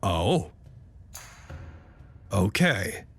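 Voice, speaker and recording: Sardonic scientist played by a man: lively intonation, articulate, dry wit, conversational podcast drama. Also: on the low side, drawn-out words, creaky, slight background noise, full character voice. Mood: quiet resolve. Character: wry, sardonic male doctor stranded at a cut-off research site. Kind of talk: audio drama acting, conversational.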